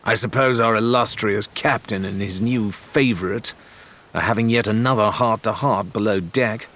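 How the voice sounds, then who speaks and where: A man's voice: on the low side, normal speed, a bit bright, lightly acted. A man voicing a sneering, hot-tempered and resentful pirate crewman in an audiobook. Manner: sneering, complaining, explosive. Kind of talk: dramatized audiobook dialogue.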